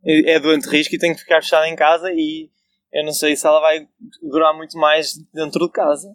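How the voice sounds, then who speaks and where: Young man, European Portuguese accent, sincere podcast co-host; monotone delivery, somewhat high pitch, dry sound. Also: brisk. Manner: flat, sincere, personal. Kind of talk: casual podcast discussion.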